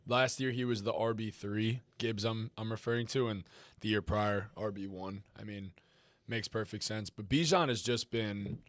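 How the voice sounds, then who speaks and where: A man co-hosting a casual fantasy football discussion, talking quickly with frequent restarts. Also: lowish voice, fairly bright tone, dry recording. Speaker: co-host.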